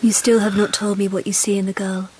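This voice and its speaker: An actress playing a dutiful, composed empress in a radio period drama, formal and measured. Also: female voice, medium pitch, flat delivery, a little mellow, a little hiss or hum, close to an everyday voice, rough recording. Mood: quietly probing. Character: Japanese empress, composed, dutiful, probing wife.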